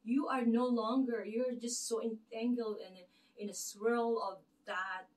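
A woman's voice: a little high, steady tone, unhurried, darkish tone. A middle-aged woman with a Filipino accent, giving an earnest, rambling faith talk to camera with many fillers and restarts in her living room. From Philippines. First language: Tagalog. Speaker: channel host. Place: living room with a lit Christmas tree. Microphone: camera across the room. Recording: distant camera mic in a room.

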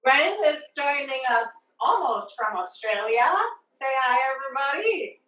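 A woman in her forties hosting a cooking class with an animated, enthusiastic presenting manner. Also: high-pitched, a little slow. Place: home kitchen. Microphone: device mic a few feet away. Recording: echoey kitchen, distant mic.

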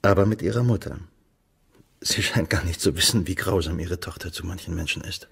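A low-voiced male investigator in a German crime audio play. He is earnest and concerned, with a gravelly, husky edge to his words. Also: a little fast, fairly bright tone, full character voice. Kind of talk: German radio crime drama acting.

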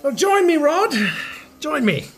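Man acting a high-voiced, boastful washed-up rock star. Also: big pitch swings, a little slow, creaky, faint music behind, full character voice. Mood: schmoozing. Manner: stammering, rambling, faux-matey. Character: washed-up middle-aged rock star, boastful, needy schmoozer. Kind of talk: podcast comedy acting.

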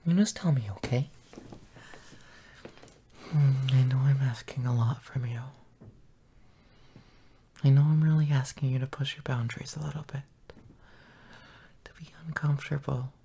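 A man playing a tender, possessive, gently dominant boyfriend in an intimate audio roleplay, often whispering in a soft, breathy murmur. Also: medium pitch, steady tone. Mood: tender, reassuring. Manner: slow, soft, murmuring with pauses.

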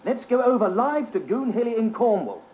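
A man's voice: extremely high pitch, very animated, moderate pace, a little hiss or hum, rough recording. A man playing an earnest 1960s television presenter making a formal live broadcast announcement. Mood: earnest, grand. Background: loud music.

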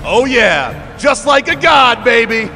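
Man putting on a cocky game announcer, high forceful voice, swooping playful calls, long reverb, music behind. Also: very animated, slow pace, full character voice. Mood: swaggering. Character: cocky, flamboyant male esports game announcer. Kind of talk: video game announcer callouts.

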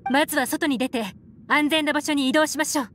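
A woman voicing a composed, shrewd adult investigator in an anime game, explaining in a cool, measured, analytical way. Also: high voice, fairly even intonation, lightly acted. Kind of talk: Japanese anime game voice acting. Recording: music under the voice.

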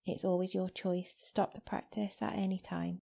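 A woman guiding a meditation as a yoga nidra teacher, speaking slowly and gently in a soft, soothing voice with long pauses. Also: on the low side, level tone, vocal fry. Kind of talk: guided meditation. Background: new-age music.

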